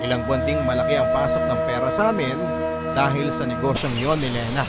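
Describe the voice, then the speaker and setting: A man's voice: mid-range voice, quick pace. A man reading a first-person scripted story as the narrator of a radio drama. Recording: loud music under the voice. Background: loud background music.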